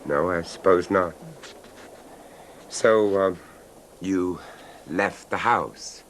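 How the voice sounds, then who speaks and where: An actor playing a calm, tactful confidant who asks measured, hesitant questions in a 1970s radio drama. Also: male voice, low voice, heavily acted. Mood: curious, tactful. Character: calm, tactful male confidant, quietly probing listener. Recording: noisy 1970s radio broadcast recording.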